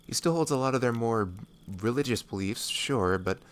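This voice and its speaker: A man voicing a laid-back, blunt but kindly woodsman, casual and unhurried, in a fantasy audio roleplay. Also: medium pitch, expressive, a little hiss or hum, natural voice. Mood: matter-of-fact. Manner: casual, unhurried, matter-of-fact.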